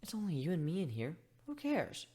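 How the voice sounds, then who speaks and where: A man's voice: a little high, huge pitch swings, fairly quick, dark tone, breathy edge, voice pushed up, exaggerated voice. A man voicing a carefree, playful cat woman healer in a story reading. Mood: breezy.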